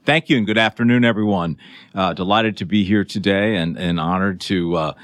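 A male host conducting a business interview on radio. His voice sits very low and sounds dry and close. He speaks formally, in a halting way. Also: a bit bright, no echo.

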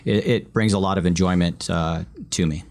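A man's voice: low-pitched, steady tone, normal speed, creaky, a little mellow. A man from Alaska, an attorney guest, answering interview questions modestly with dry humor. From Alaska, USA. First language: Vietnamese.

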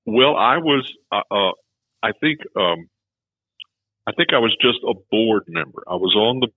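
A man's voice: fairly low pitch, lively intonation, measured pace, breathy edge, dry recording. A man, a former film festival director, telling stories in a rambling way, full of restarts, recorded with a tinny phone-like sound. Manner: rambling, many restarts, chuckles at his own stories. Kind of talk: casual conversation.

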